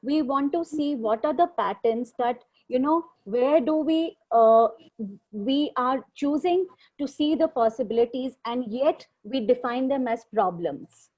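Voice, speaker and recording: A woman with an Indian accent hosts an informal online discussion. Her voice sits a little high, and she speaks as a native Hindi speaker, posing questions and encouraging others to answer. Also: unhurried, darkish tone, highs cut off. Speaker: host of an online group discussion. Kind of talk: informal facilitated discussion, mixing English and Hindi. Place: online video call.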